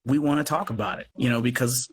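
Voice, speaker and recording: A man's voice: medium pitch, quick pace, breathy edge. A man talking casually as an interview guest, earnest and effusive, often circling back over his phrases on a live call. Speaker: guest, radio play producer. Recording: noisy livestream call.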